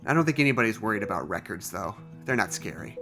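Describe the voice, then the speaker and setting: An actor playing an earnest, resentful male scientist in an audio drama, confiding in a halting, rambling way. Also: fairly low pitch, expressive, over music. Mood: earnest, uneasy. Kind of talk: audio drama monologue, naturalistic.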